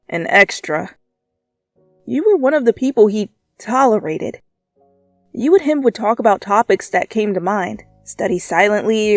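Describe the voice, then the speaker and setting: A woman reading fan fiction as dramatic storytelling, with an expressive, playful delivery. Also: medium pitch, no room sound, studio quality. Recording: background music under voice. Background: music.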